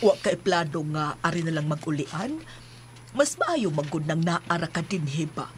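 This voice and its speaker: Inahan nga Bisaya, buhi kaayo ang tono sa aktres. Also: female voice, low voice, lively intonation, moderate pace, slight background noise. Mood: persuasive. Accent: Cebuano Filipino. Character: warm, welcoming, insistent middle-aged mother. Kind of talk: radio drama acting.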